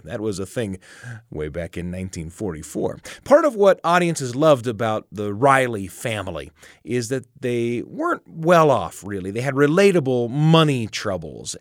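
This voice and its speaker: Man hosting radio, very lively pitch swings. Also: no room sound, studio quality. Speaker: radio storytelling show host. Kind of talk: conversational radio presenting. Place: radio studio.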